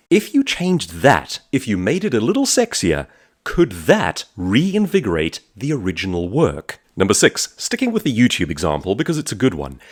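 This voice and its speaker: A man giving a motivational business talk as a presenter, phrasing points emphatically and deliberately, with frequent rhetorical questions. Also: on the low side. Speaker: author and speaker presenting a business advice talk.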